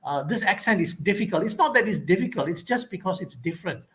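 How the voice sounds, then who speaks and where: A man's voice: high voice, animated, fast, a bit bright. A man with a Malaysian accent answering conference questions in a thoughtful way, full of fillers and restarts. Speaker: conference speaker. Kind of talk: academic Q&A answer.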